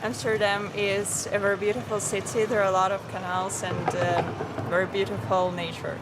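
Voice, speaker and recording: A woman's voice: medium pitch, level tone, a little fast, heavy background noise. A woman from Argentina answering a street interview, cheerful and laughing easily. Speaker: passerby interviewee. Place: outdoors on a busy street. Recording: loud crowded street.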